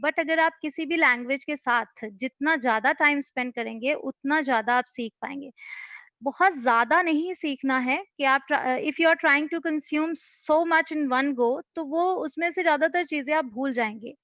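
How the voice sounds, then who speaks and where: High-voiced woman coaching spoken skills. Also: no echo, lo-fi sound. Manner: patient, advice-giving explainer. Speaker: English-speaking coach. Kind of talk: answering questions in an online session.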